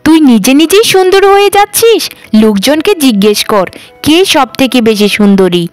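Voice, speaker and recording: Casting: woman playing sharp-tongued, envious young sister in a cartoon, high and very lively, music faintly behind. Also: brisk, clean tone, no room sound. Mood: indignant. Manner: nagging, sarcastic. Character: jealous, sharp-tongued middle landlord's daughter. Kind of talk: cartoon voice acting.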